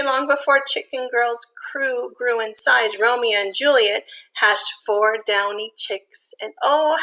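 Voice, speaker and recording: Bright-voiced woman reading to young children. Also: sits high, creaky, rough recording. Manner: expressive, simple explanations addressed to children. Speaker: elementary school teacher reading a picture book aloud. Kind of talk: read-aloud storytelling for young children with explanatory asides.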